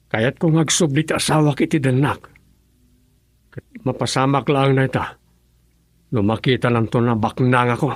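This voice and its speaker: A man acts out a struggling husband in a radio drama, earnest and bitter about being poor. His voice sits at an ordinary middle pitch. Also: steady tone, normal speed, pauses often, no room sound, close to an everyday voice. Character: bitter grown husband, poor and wanting riches.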